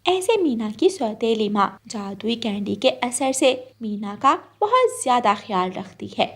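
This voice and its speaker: Woman reading a kids story, really high voice, slow pace. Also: very animated. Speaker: storyteller narrator. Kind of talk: scripted children's story narration.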